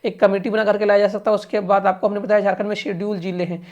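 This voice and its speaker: A woman commentator speaks at an average pitch for a woman. She explains politics informally, as if addressing those in power directly. Her delivery is emphatic, circling back to restate each point. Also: little pitch movement, racing, darkish tone, dull audio. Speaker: news commentator.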